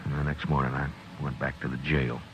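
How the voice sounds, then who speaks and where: Deep-voiced, gruff Western marshal. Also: male voice, little pitch movement, brisk, lo-fi sound. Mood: weary, somber. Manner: terse, commanding, gruff. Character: stern, weary male U.S. marshal of the Old West. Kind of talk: 1950s Western radio drama acting and narration. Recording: noisy old radio recording.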